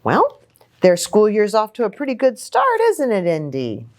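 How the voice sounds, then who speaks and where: A woman hosting a children's story time, reading a picture book in an expressive, sing-song way and speaking to young children. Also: mid-range voice, normal speed. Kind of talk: animated children's picture-book read-aloud.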